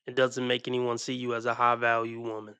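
A man's voice: medium pitch, monotone, fairly quick, pushed and bright, smooth, no room sound. A man giving blunt, mocking reaction commentary on video clips.

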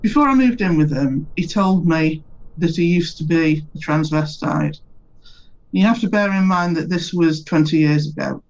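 A woman giving a brisk, matter-of-fact interview testimony. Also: low-pitched, a little mellow. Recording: slightly muffled.

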